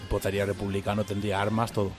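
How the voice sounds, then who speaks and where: A man from Argentina co-hosting a radio music show, talking at a rapid clip. He rambles and jokes at his own expense in a loose, casual chat. Music plays clearly under his voice. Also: on the low side, monotone. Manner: joking, rambling, self-ironic.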